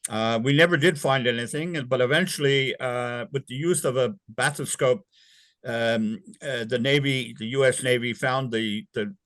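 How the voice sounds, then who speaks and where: An older man, born in England and raised in Canada, recalls his navy years in an interview, talking in a halting way with many fillers and restarts. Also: medium pitch, a bit bright, dry recording. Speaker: interview guest, former navy sailor. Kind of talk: casual recollection in an interview.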